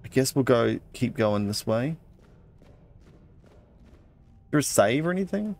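Man casually narrating his gameplay, lively pitch. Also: slow pace, soft background music. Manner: muttering to himself, reading out item text. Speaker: let's play host. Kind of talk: casual gameplay commentary, thinking aloud.

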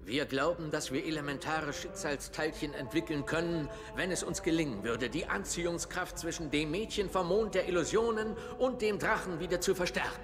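A man's voice: on the high side, normal speed, few pauses, music in the background, natural voice. Scheming sorcerer in long reverb played by a man. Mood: solemn. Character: solemn, scheming male sorcerer-scientist.

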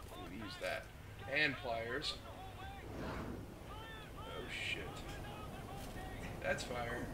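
Sick young man swearing through gameplay. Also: medium pitch. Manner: profane, reacts out loud to the game. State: sick with the flu, hoarse. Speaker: gaming host. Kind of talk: casual gameplay commentary. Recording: noisy home mic recording.

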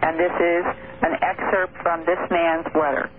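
A woman's voice: on the low side, flat delivery. A woman talks earnestly and precisely as a radio guest. Her voice comes through a narrow, phone-like line with faint noise. She words things formally and carefully. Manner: measured, articulate, formal wording. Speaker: radio guest, researcher and author. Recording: noisy narrow-band telephone radio line.

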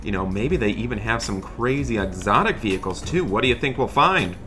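A man narrating an improvised game roleplay as an excited, friendly dad, chatty and full of exclamations. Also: lowish voice, brisk, faint music behind. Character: excited friendly dad shopping for project cars. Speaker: host of a gaming roleplay channel.

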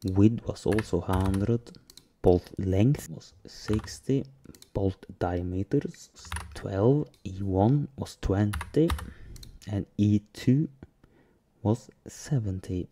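Slow, dark-voiced man narrating a tutorial. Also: lowish voice, slight background noise. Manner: plain step-by-step narration with pauses. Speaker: software tutorial host. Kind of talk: screen-recorded software tutorial.

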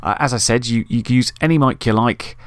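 A man's voice: mid-range voice, fairly quick, clean tone, no room sound. A man hosting a casual screen-recorded tutorial, thinking aloud informally as he works. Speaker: tutorial host. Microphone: USB desktop microphone.

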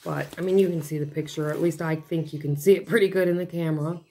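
A woman with a hoarse, failing voice chatting casually at her dining table as she unboxes craft kits, rambling and breaking off mid-thought. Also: low voice, fairly even intonation, quick pace, dark tone. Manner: rambling, chatty, self-interrupting. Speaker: craft vlogger. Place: home dining room, seated at a table.

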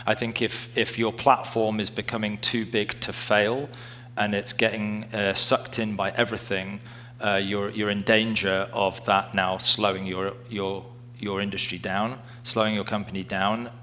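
A man's voice: fairly low pitch, flat delivery, a little slow, sharp tone, slight background noise. A man speaking on a conference panel, thoughtful and hesitant, with many restarts. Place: conference stage before an audience.

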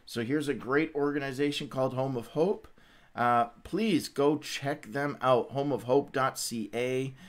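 A middle-aged man speaks in a medium-pitched voice to his livestream audience. His delivery is casual and conversational, in loose stop-and-start phrases, as he leads a devotional session. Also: a little slow. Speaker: host of a Bible read-along livestream.

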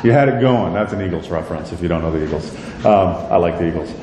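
A middle-aged man with a deep voice preaching a sermon in a church, conversational and self-deprecating, with many rhetorical questions. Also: animated, fast, mellow. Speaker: pastor. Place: church sanctuary with a stage. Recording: echoey church hall, light rumble.

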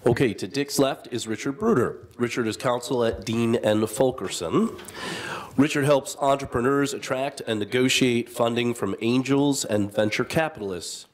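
A man moderating a business panel, reading out speaker introductions in a measured, formal way with small asides. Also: medium pitch.

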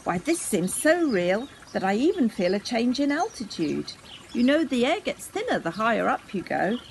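A woman's voice: a little high, very animated, moderate pace, vocal fry, a little hiss or hum. A woman voicing a curious, good-humoured, affectionate grandmother in a storybook reading. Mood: amazed.

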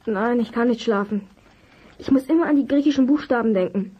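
An actor voicing a keen, inquisitive child detective in a German children's radio play, brisk and full of questions. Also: medium pitch, husky, highs cut off, close to an everyday voice. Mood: restless.

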